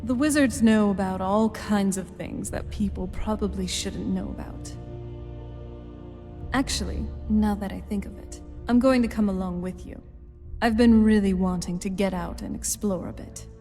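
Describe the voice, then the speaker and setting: A woman's voice: mid-range voice, moderate pace, dark tone, close to an everyday voice. An actress playing a guarded, self-assured female vampire in a video game, talking conversationally with dry sarcasm. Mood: curious, casual. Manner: conversational, dryly sardonic. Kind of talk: video game dialogue acting. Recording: reverberant hall, faint music behind.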